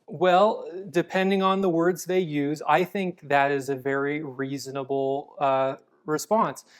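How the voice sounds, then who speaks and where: A man answering interview questions about apologetics in a calm, measured, explanatory way. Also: on the high side, clear, resonant tone. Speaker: apologetics guest.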